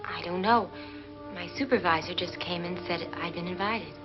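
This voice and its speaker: A woman's voice: fairly low pitch, normal speed, lightly acted. An actress playing a timid, bewildered young woman, a guest in a 1950s horror film. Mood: subdued, bewildered. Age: young adult. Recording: dull vintage film soundtrack with music.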